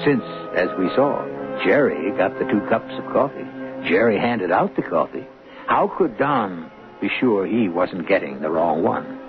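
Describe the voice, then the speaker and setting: A man playing an urbane mystery host, narrating a scripted radio drama in a wry, measured, conversational way. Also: low voice, expressive. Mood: wry, intriguing. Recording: muffled phone-like old radio recording. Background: music.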